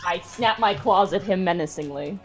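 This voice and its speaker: A woman's voice: lowish voice, moderate pace. A woman chatting casually as a player in a tabletop game, describing what her character does. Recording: music playing behind the voice.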